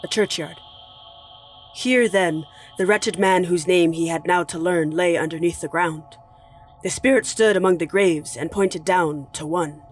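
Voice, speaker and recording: A man narrating a dramatic story in a measured, solemn manner. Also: high voice, soft background music. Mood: ominous.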